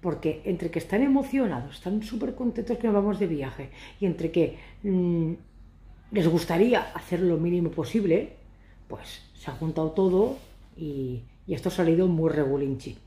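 A woman's voice: lowish voice, normal speed, mellow, echoey room. Chatty woman vlogging from her sofa. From Catalonia. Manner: chatty, ironic, rambling. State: hoarse from shouting. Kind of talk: casual chat to camera. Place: living room sofa, indoors. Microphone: phone camera at arm's length.

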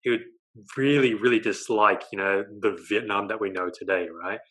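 Young Australian man, bright forceful voice, animated storyteller, earphone mic on a video call from his living room. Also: fairly low pitch, moderate pace, low-quality recording. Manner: animated storytelling, gestures a lot, tags lines with "right?". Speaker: guest, dating coach.

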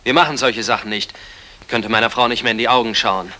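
A stern, principled husband played by a man from a vintage radio crime play. His voice is medium in pitch with a forceful, bright edge, and he speaks in curt commands. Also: quick pace, natural voice. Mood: stern, firm. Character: stern, upright husband holding burglars at gunpoint. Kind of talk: 1960s German crime radio play acting.

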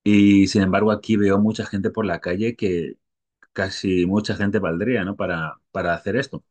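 A man's voice: low-pitched, fairly even intonation, moderate pace, darkish tone. A middle-aged man from Spain with a Spanish accent, talking casually to his webcam and thinking aloud as he goes. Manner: rambling, thinking aloud, tag questions. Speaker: stock photographer vlogger. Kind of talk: casual talk to camera.

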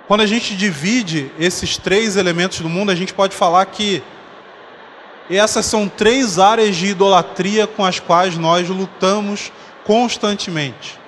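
High-voiced man preaching in a reverberant hall. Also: steady tone, a bit bright. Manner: conversational, explains slowly with humorous asides. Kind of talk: conference sermon. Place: dark church stage. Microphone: handheld mic. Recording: long hall reverb, faint noise.

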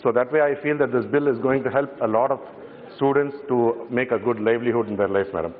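A middle-aged man with a Tamil Indian English accent, speaking in parliament from notes, with frequent pauses and fillers. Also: medium pitch. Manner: hesitant, many fillers and restarts, glancing at notes. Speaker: member of parliament. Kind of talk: parliamentary speech. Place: large parliament chamber. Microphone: desk microphone from standing. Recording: echoing hall, phone-like broadcast audio.

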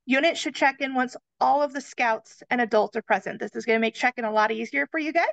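A woman's voice: fairly high pitch, fast talker, gravelly, fairly bright tone, dry recording. A woman presenting camp check-in and checkout rules on a video call, friendly and talking to listeners as "you guys". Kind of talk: slideshow briefing. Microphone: webcam on video call.

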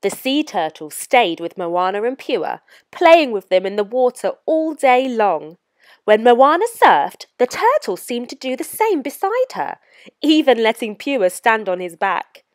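Animated woman reading a children's storybook. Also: on the high side, unhurried. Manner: animated, clearly paced read-aloud for young children. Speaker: storyteller reading a children's picture book aloud. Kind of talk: expressive scripted storybook narration.

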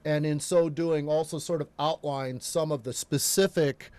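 A middle-aged American man with a voice a little higher than most, talking a TV host through earthquake science from a studio desk mic. He explains with many restarts and self-deprecating asides. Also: fairly even intonation, normal speed, a little mellow. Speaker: geologist guest. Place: local TV studio.

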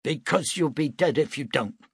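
A man's voice: a little high, animated, moderate pace, husky, no room sound, studio quality, voice pushed up, heavily acted. A man voicing a determined young lawman who gives firm, threatening warnings.

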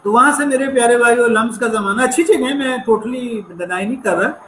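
A man's voice: very high-pitched, brisk, fairly bright tone, echoey room, slight background noise, rough recording. A man with a slight American accent, an entrepreneurship professor telling stories in an earnest, reflective way as an interview guest. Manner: earnest, reflective, sprinkles English phrases. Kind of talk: conversational interview storytelling.

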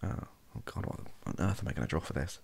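A young man with an extremely low, husky voice speaks softly in an improvised ramble. His intonation swings around freely as he hesitates, backtracks and makes wry asides. He sounds close to the microphone in a small home room. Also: lively intonation, fast. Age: young adult. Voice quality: husky, gravelly. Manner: hesitant, self-correcting, wry. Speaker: ASMR comedy video creator. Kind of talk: improvised soft-spoken rambling to camera. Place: small home bedroom office.

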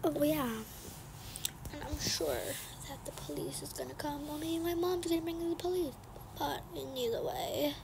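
A woman playing a sulky, sneaky little girl, high-pitched. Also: normal speed, creaky. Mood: defiant. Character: resentful, sneaky young girl plotting against babysitter. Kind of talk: improvised game roleplay storytelling. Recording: noisy home recording with clicks.

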